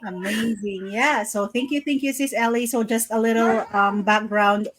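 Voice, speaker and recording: A woman's voice: a little high, steady tone, normal speed, slight background noise. A middle-aged woman hosting a casual live-stream interview, upbeat and chatty, repeating greetings and praise. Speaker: host of a live interview series.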